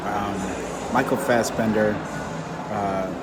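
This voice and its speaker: A man's voice: fairly low pitch, steady tone, takes it slowly, loud music behind the voice. A man giving a blunt, unimpressed movie review in a casual way, talking off the cuff while out walking.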